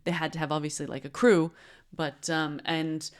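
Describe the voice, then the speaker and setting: A woman's voice: low-pitched, flat delivery, normal speed. A woman from the United States co-hosting a marketing podcast in a playful, casual chat, laughing often. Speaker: podcast co-host.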